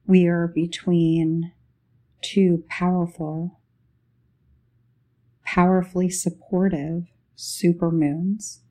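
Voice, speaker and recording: Slow, mellow woman guiding a meditation. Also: on the low side, little pitch movement. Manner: unhurried, short phrases with long pauses. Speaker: guided meditation host. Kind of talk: guided meditation, spiritual talk.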